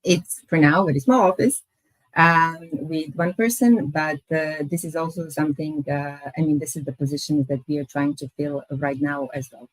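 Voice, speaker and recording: A woman presents her employer to a webinar audience, speaking in a low register. She hesitates often, filling her pauses with small sounds. Her voice comes through a video call, dry and close with no room sound. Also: steady tone, dry recording, rough recording. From Croatia. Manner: hesitant, many pauses and fillers. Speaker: company recruiter presenting.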